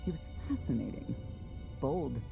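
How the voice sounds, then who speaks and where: Very low, creaky-voiced proud warrior mother. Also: very animated, a little slow, exaggerated voice. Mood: reflective. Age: middle-aged. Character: regretful, proud warrior mother, former elite guard. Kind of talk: dramatic video game acting. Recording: music under the dialogue.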